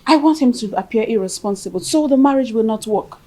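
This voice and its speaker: Cool, self-assured schemer. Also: female voice, fairly high pitch, animated, fast, warm tone. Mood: cool, scheming. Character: scheming woman coolly plotting to ruin a marriage. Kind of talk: film drama dialogue.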